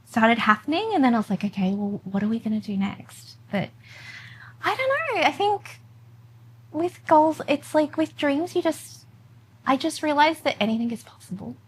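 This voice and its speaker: A woman's voice: high voice, big pitch swings, normal speed, slight background noise. Upbeat woman. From born in China, raised in Australia. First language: Mandarin. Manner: upbeat, laughs often, dreamy and enthusiastic. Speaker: podcast guest, content creator. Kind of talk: casual interview conversation.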